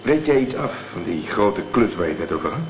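An actor playing a laconic, wary private detective in a radio drama, speaking in a terse, matter-of-fact way. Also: male voice, deep voice, big pitch swings, brisk. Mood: wary, thoughtful. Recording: dull, faintly noisy old radio play recording.

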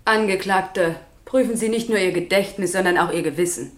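A woman's voice: on the low side, fairly quick, natural voice. An actress playing a stern, admonishing female court official in a German radio crime drama.

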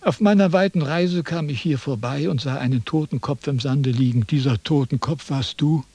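An actor playing a pompous, self-important ancient Chinese sage in a radio drama, lecturing in a condescending, impatient way. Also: male voice, a little high, moderate pace, warm tone, breathy edge, no echo. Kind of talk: theatrical radio drama dialogue.

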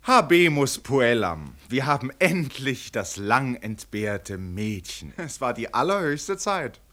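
An actor playing a wry storyteller, narrating a radio play about royalty with ironic, mock-ceremonious relish. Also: male voice, medium pitch, big pitch swings, slow, pushed and bright, full character voice. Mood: mock relief. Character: wry, mocking male storyteller of a royal tale.

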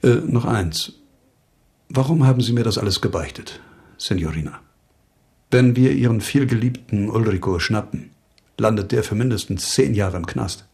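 An actor in a German radio crime drama playing a shrewd, controlled investigator, measured, authoritative and dryly condescending. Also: male voice, lowish voice, vocal fry, darkish tone. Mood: commanding. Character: shrewd, controlled male investigator questioning suspects.

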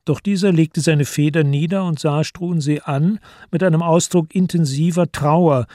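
A man reads literary narration for a radio drama. His voice sits a little high and is recorded close and dry, with sober, measured phrasing. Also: fairly even intonation, mellow, no echo.

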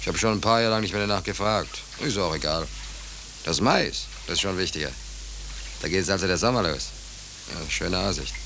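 An actor playing a hungry, soft-hearted drifter sailor in a 1940s radio drama, with a wry, colloquial drawl. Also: male voice, sits low, fairly quick, creaky, heavily acted. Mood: casual, wry. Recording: noisy 1940s radio recording.

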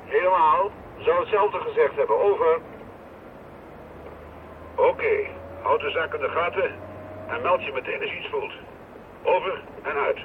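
Terse radio officer, distant, tinny crackly transmission. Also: male voice, on the high side, measured pace, long pauses. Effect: police radio transmission. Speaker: radio dispatcher.

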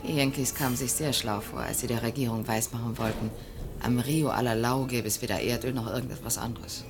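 Low, creaky-voiced determined young conspirator woman. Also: fairly even intonation. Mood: conspiratorial, determined. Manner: brisk, commanding, conspiratorial. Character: bossy, determined young Brazilian conspirator, daughter of an official. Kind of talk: German radio play acting. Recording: studio radio play with prominent music. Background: music.

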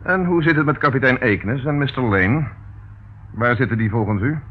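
An actor playing a dogged police inspector in a radio play, sardonic, stern and deliberate in his talk. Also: medium pitch, close to an everyday voice. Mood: probing, dry. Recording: muffled, noisy old radio recording.

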